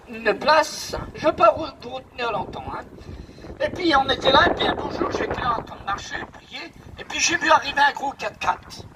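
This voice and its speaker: High-pitched emphatic French pastor preaching. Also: male voice, background noise. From Paris region, France. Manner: emphatic, repetitive, storytelling preacher. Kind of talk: preaching with congregation responses.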